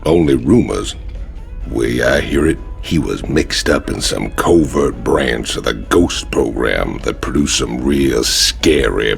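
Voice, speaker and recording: A gruff male outlaw soldier in a game, with an extremely gravelly, bottom-deep voice. He drawls slowly and bluntly, weary of the world. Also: full character voice. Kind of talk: dramatic video game acting.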